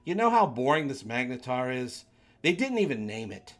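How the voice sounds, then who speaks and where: A man's voice: medium pitch, big pitch swings, normal speed. Man reading a grumbling, sarcastic starship captain. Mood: exasperated. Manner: grumbling, defensive, dry. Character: weary, touchy, sarcastic male starship captain.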